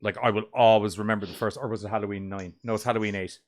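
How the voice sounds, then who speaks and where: A man co-hosting a casual horror movie group chat, rambling and swearing as he tells animated stories. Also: fairly low pitch, racing. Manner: rambling, profane, animated storytelling. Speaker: podcast co-host.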